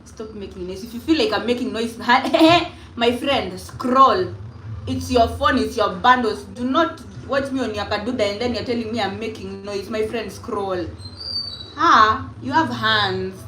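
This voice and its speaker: A woman's voice: fairly high pitch, a little slow, sharp tone. A young Kenyan woman with a Kenyan accent, talking defiantly and repeating herself in a casual livestream on her phone. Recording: echoey room with clear background noise.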